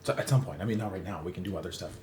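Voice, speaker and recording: A man's voice: sits low, rapid-fire, a little mellow. A man playing a tabletop roleplaying game, speaking in character in a casual, playful way. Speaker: player. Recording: echoey room, faint noise.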